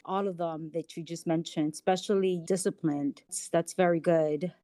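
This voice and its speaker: A woman's voice: lowish voice, steady tone, moderate pace, mellow, dry recording. A woman conducting a conversational interview, asking questions and affirming what she hears. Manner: affirming, reflects back on answers.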